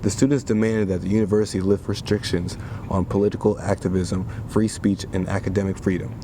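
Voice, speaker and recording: Male newsreel narrator with a deep voice, scripted read, rumbling background noise. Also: steady tone, brisk. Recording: rumbling, clearly noisy background.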